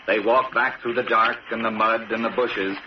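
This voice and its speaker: A man's voice: on the low side, flat delivery, normal speed, background noise. An American man reading scripted 1960s military radio documentary narration in a solemn, dramatic way. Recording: dull old radio recording, distant, loud music.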